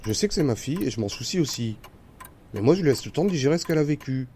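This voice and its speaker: A man playing a gruff, exasperated father of a teenager in an audio drama. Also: mid-range voice, animated, very fast, warm tone. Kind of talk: amateur audio drama acting.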